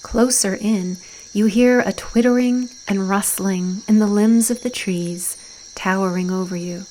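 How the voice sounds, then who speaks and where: A woman narrating a guided visualization in a soft, soothing, deliberate voice with breathy phrase endings and long pauses. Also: mid-range voice, steady tone, a little hiss or hum, poor audio.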